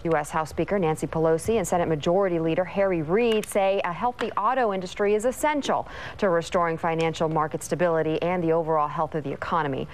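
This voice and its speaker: A woman's voice: on the low side, a little hiss or hum. An American woman working as a TV news anchor, delivering scripted morning news, weather and sports with brief ad-libs.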